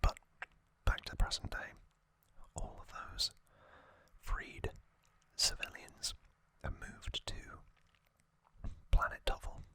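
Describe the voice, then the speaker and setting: An ASMR host whispering an unhurried, unscripted-sounding explainer in a breathy, unvoiced whisper, pausing often as they go. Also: very high voice, sharp tone.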